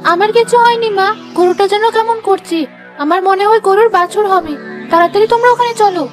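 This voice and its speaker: A young, pouty village girl from a cartoon tale. Her voice is extremely high and girlish, with music clearly playing underneath. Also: normal speed. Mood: excited. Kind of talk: Bengali cartoon fairy-tale voice acting. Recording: music behind the voice.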